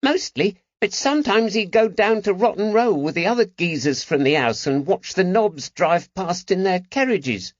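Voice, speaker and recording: A man voicing a cheeky, eager young street urchin with a high-pitched voice and slangy talk, in an audiobook. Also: expressive, moderate pace, few pauses, no echo, highs cut off. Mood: proud, chatty. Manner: colloquial street slang, bargaining.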